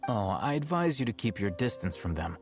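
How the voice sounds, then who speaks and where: An actor playing a calm, precise and coolly condescending young male scholar in a video game. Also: fairly low pitch, a little fast. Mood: dismissive. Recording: game audio with faint background music.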